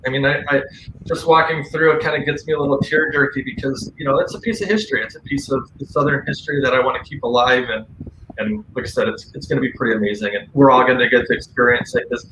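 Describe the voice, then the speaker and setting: Bright, forceful man in a roomy space. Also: mid-range voice, quick pace. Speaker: guest on a paranormal radio show. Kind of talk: casual conversational talk. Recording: roomy echo, faint background noise.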